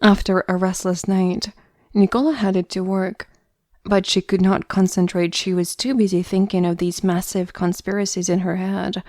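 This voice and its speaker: A woman's voice: medium pitch, flat delivery, moderate pace, darkish tone, no room sound, clean studio sound. A woman narrator reading a scripted story for an audio drama.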